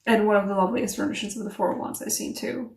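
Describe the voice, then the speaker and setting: A woman giving a casual tarot reading to camera, chatty and animated, English not her first language, laughing at herself between rambling asides. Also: mid-range voice, quick pace, vocal fry, far from the mic. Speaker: tarot reader. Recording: echoey room, voice a bit off-mic.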